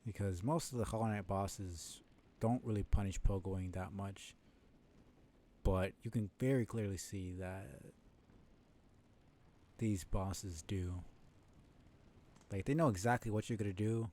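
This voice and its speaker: A man with a fairly low, soft-edged and dark voice commentates live on his own gameplay. He talks casually and analytically, rambling as he thinks out loud like a streamer. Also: steady tone, a little slow, long pauses. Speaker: streamer playing a video game.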